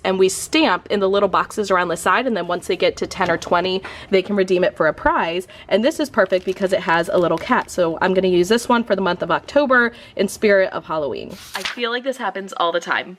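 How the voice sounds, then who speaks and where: A woman's voice: mid-range voice, fairly bright tone, a little hiss or hum. Chatty young woman with a sore throat. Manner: chatty, upbeat, talks to the camera. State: sore throat, losing her voice. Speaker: elementary school teacher vlogger. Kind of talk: casual vlog chat.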